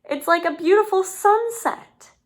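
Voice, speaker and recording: A woman's voice: very high voice, measured pace, voice pushed up. A woman voicing a bold, crafty female turkey schemer in a children's storybook. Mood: flattering, scheming.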